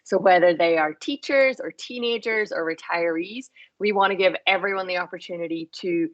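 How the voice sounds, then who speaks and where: A woman's voice: on the low side, normal speed, muffled recording. A woman from Ireland with an Irish accent, a nonprofit co-founder explaining her organisation in a conversational way over a video call.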